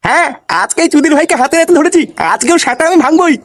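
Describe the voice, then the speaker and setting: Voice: very high-pitched, quick pace. A performer voicing a hot-tempered, foul-mouthed father in a comedy cartoon, ranting indignantly. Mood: furious.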